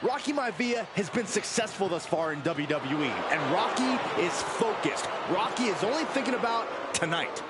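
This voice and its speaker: A man's voice: high voice, animated, moderate pace, few pauses. A man playing an upbeat, supportive analyst in a scripted wrestling game commentary. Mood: keen, emphatic. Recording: loud arena noise behind. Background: arena ambience and ring thuds.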